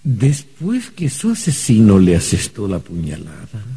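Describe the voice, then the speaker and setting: A calm, sly official from the beyond in a radio play. His very dark, raspy voice is low and measured, with lively swings as he politely explains. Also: exaggerated voice. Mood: patient, knowing. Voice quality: very husky, dark. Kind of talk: old radio drama acting.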